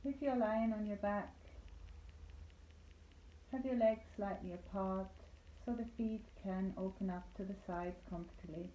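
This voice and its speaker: A woman leading a guided meditation as a yoga nidra instructor, speaking calmly and soothingly with deliberate pauses. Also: mid-range voice. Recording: roomy echo, light background noise.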